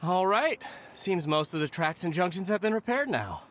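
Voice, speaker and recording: A man's voice: high voice, expressive, normal speed, fairly bright tone. An actor playing an earnest, testy young male caretaker in a video game, fussy and articulate, with faint noise behind. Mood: earnest, polite.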